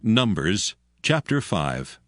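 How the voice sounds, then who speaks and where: Low-voiced male Bible narrator. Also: very animated, slow, fairly bright tone, dry recording, studio quality. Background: plucked string instrument music.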